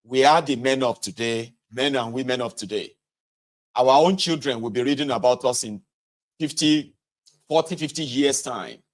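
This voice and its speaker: A man's voice: medium pitch, moderate pace. A man with a Nigerian accent reading a book aloud and adding conversational asides, speaking directly to listeners and sometimes correcting himself. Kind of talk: read-aloud narration with casual asides.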